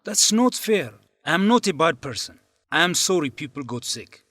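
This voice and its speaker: Young man, manipulative student politician, defensive, insistent, very animated pitch, dry studio radio drama. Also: normal speed, no echo. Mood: defensive, pleading. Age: young adult. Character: controlling, scheming male student leader, pleading then spiteful. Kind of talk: educational radio drama acting.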